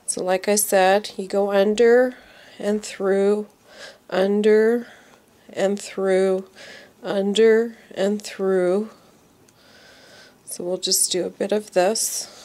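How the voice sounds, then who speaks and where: Slow, patient woman with a cold. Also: mid-range voice, a little mellow. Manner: patient, repeats each step slowly. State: congested from a cold. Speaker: knitting teacher. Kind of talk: slow step-by-step craft tutorial.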